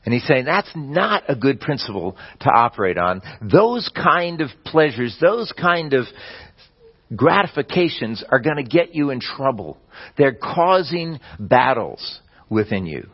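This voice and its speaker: Male preacher, mid pitch, measured teaching sermon, slightly dull lo-fi capture. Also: big pitch swings, breathy edge. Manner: measured teaching delivery with occasional slips and restarts.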